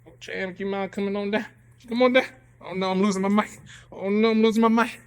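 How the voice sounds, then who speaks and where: Man putting on an excitable high-pitched radio interviewer. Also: fast, smooth. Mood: showy, excited. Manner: showy, repetitive, stammering patter. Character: excitable male radio interviewer character, showy and bumbling. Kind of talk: improvised live radio show hosting.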